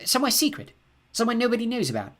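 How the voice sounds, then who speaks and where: A man voicing a mysterious young girl who speaks in a hushed, coaxing way. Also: very high voice, wildly swooping pitch, racing, voice pushed up, full character voice.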